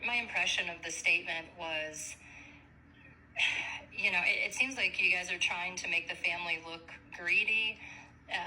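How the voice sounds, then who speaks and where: A woman making an earnest, measured but pressing argument as a caller questioning an official in a phone conversation. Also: on the low side, bright, forceful tone. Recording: faint background noise on phone call recording.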